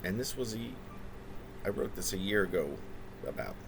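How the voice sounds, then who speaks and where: A man reading his own reflective, autobiographical poetry in halting, deliberate phrases that wind through long, wordy sentences. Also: deep voice, lively intonation. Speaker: poet reading own work.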